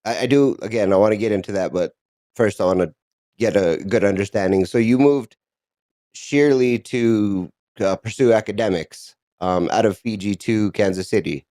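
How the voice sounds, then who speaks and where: A man's voice: on the low side, moderate pace, warm tone, no room sound. A man hosting a podcast, reading a scripted intro and then chatting in an upbeat, encouraging way. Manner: upbeat, encouraging, laughs often. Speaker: podcast host.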